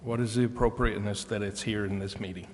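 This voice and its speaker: A man's voice: on the low side, steady tone, fast. A man, a council member, asking questions in a formal meeting. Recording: reverberant council chamber, faint noise.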